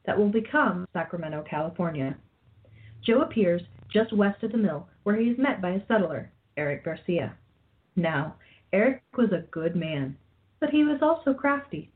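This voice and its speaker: A woman reading scripted narration for a radio play. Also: mid-range voice. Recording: tinny phone-like sound, faint music behind.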